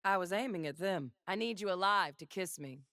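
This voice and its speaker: A woman's voice: fairly low pitch, expressive, fairly quick, fairly bright tone, no room sound. A woman playing a battle-hardened, wry and defiant young gunslinger in a video game, speaking in a dry, clipped, commanding way. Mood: wry teasing. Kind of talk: video game character voice lines.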